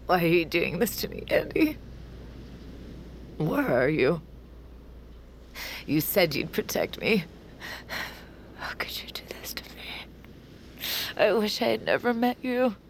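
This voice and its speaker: A woman's voice: fairly low pitch, sing-song, takes it slowly, vocal fry, pauses often, whispered, heavily acted. An actress playing a defiant, heartbroken young woman mind reader held prisoner in an audio drama, raw and bitter in delivery. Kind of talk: naturalistic audio drama acting.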